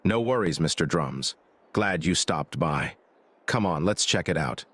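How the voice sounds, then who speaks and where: A man's voice: sits low, animated, a little slow, fairly bright tone, slightly rough, exaggerated voice. A man voicing a friendly neighbor farmer in a farming game, easygoing and welcoming.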